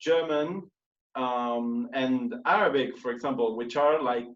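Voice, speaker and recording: A man's voice: medium pitch, moderate pace, a bit bright. Man hosting, distant and roomy. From Argentina. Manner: chatty, many fillers and restarts. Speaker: radio host. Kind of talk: casual interview in English. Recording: roomy, distant, muffled call audio.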